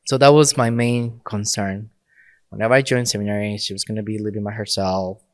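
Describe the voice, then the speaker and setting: Relaxed low-voiced Mexican priest. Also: male voice, fairly quick, dry recording, clean studio sound. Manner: relaxed, laughs often, speaks in short phrases. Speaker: priest, podcast guest. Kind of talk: casual interview talk.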